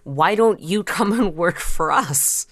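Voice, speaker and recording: A woman's voice: lowish voice, lively intonation, slow, no echo. A woman co-hosting a podcast, explaining game lore in casual conversation. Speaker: podcast co-host.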